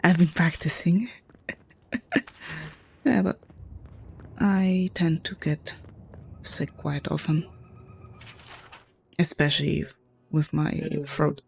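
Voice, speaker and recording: A woman with a hoarse, low voice, muttering and chuckling to herself as she comments on a video game. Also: steady tone, slow, pauses often, a little mellow. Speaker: streamer. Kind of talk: casual gameplay commentary.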